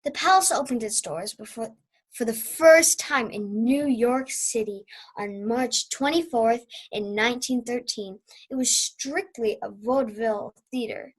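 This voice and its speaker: A woman's voice: a little high, measured pace, husky, low-quality recording. A young woman playing an eccentric, dramatic old aunt and former vaudeville performer in an amateur radio play, theatrical and grandly reminiscing. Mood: grand, nostalgic.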